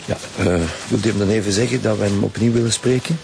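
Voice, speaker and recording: A man's voice: fairly low pitch, steady tone, fast talker, gravelly. A man playing a dogged, blunt middle-aged lead detective with a Flemish accent in a radio drama. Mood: businesslike. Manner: blunt, commanding. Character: dogged, brisk male lead detective of a homicide squad.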